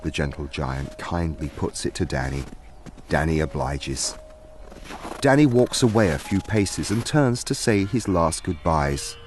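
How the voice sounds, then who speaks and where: A man's voice: very deep voice, animated, measured pace. A man narrating a scripted fantasy story. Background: faint music.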